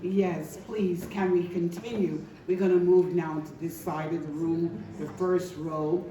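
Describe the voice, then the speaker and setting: A woman moderating a panel, briskly calling on people to introduce themselves. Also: lowish voice, fairly even intonation. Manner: brisk, directive, calling on speakers. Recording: echoey room, distant mic, loud background noise.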